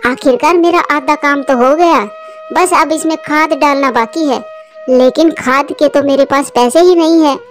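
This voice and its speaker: A woman's voice: sits high, normal speed, soft background music, natural voice. A woman voicing an earnest, hard-working female cartoon bird who frets aloud in a plaintive manner. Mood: determined. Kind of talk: Hindi cartoon story voice acting.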